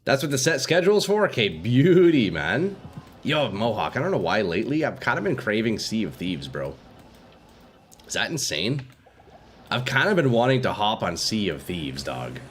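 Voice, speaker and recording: A man's voice: fairly low pitch, sing-song, moderate pace, slight background noise. A young man streaming a game live with a stuffy nose, chatting with viewers in a laid-back, slangy way at a desk microphone.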